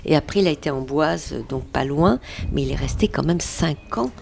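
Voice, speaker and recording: An author in conversation on the radio, she speaks in a low, gravelly voice. She tells her story with earnest animation, acting out the quoted words, and a faint hiss sits under her speech. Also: expressive, moderate pace, darkish tone. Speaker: author being interviewed.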